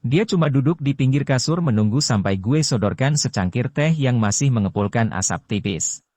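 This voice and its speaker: A man narrating a first-person novel in casual Jakarta slang, in an even reading manner. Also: medium pitch, moderate pace, dry recording. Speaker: audiobook narrator.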